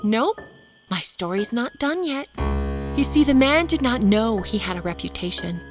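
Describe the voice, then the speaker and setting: A narrator, a woman, plays a young woman on a date who is coolly menacing and taunting. She spins her scary tale deliberately, as in an audio horror story, with music behind her. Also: fairly high pitch, lively intonation, natural voice. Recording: music bed under the voice.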